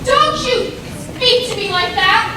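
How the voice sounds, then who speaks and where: A stern and anxious mother played by a woman in a stage drama, pitched very high and emphatic. The room echoes heavily around her over clear background noise. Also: expressive, slow pace, recorded from a distance, heavily acted. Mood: scolding. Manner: scolding, emphatic. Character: stern, anxious, protective mother of a grown daughter. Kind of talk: stage play acting. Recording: echoey stage recorded from afar, noisy.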